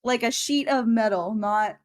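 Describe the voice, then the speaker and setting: A woman's voice: on the high side, a little slow. A young woman chatting casually on a podcast, playful, giggly and self-deprecating. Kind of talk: casual chat.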